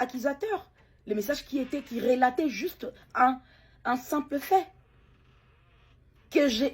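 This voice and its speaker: A middle-aged woman speaks with a husky, somewhat breathy voice that sits a little high. She talks haltingly, pausing often and repeating words as she gives an emotional personal account as a bereaved mother. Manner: hesitant, many pauses and repeated words.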